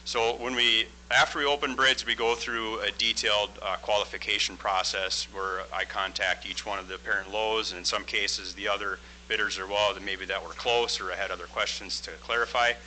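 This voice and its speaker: A man in his forties, a construction project manager, giving a loud, plain-spoken presentation into a microphone at a school board meeting. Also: lowish voice, fairly quick, hardly any pauses, slight background noise, poor audio. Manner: loud, plain-spoken, steady explaining with frequent "uh" fillers. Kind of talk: formal presentation at a public meeting.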